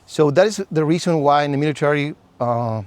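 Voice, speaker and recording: A man's voice: fairly high pitch, fairly quick. A man from Chile with a Chilean Spanish accent, Spanish his first language, teaching a survival class at an outdoor campsite, earnest and sometimes searching for words. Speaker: survival instructor.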